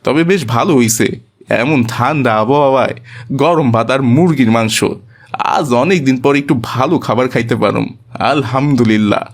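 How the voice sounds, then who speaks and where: A man's voice: medium pitch, normal speed, lightly acted. A man playing a poor, hospitable village labourer and father with a rural Bangladeshi accent in a moral cartoon, talking in an earnest, folksy way. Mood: content. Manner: earnest, folksy colloquial talk. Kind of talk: Islamic moral cartoon dialogue.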